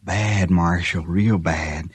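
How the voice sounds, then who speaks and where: An actor playing a terrified, simple-minded homesteader in a radio western, halting and repeating himself in warning. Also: male voice, sits low, fairly even intonation, husky, dry recording, full character voice. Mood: dazed, frightened. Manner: halting, repetitive. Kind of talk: old-time radio western acting.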